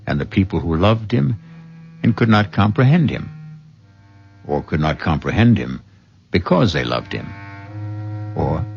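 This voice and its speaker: An actor playing a grave, gravelly radio drama host, narrating slowly and deliberately with dramatic pauses. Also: male voice, sits low, heavily acted. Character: grave, unhurried male host of a radio mystery anthology. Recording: dull old radio recording, music behind.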